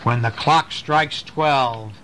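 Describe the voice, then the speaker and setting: A man's voice: medium pitch, slow pace, fairly bright tone. A man voicing a big, jealous, scheming bully and trickster from a storybook. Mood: spooky, boastful. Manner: scheming, menacing. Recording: noisy amateur home recording.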